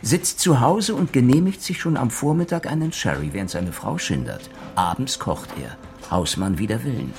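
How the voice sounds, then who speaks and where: An actor playing an earnest, reflective police inspector in a radio crime drama, speaking in a measured way. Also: male voice, mid-range voice, very animated, creaky, a little mellow, faint music behind. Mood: insistent.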